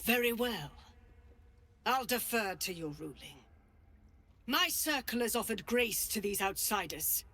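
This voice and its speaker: An actress playing a stern, zealous druid leader, cold, commanding and contemptuous, in a video game. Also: female voice, a little high, lively intonation, measured pace, long pauses, sharp tone, exaggerated voice. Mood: cold, accusing.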